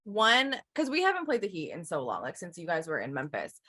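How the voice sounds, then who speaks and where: A woman's voice: lowish voice, wildly swooping pitch, fairly quick, sharp tone. A woman hosting a casual sports interview, talking in a direct, probing way. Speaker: sports show host, interviewer.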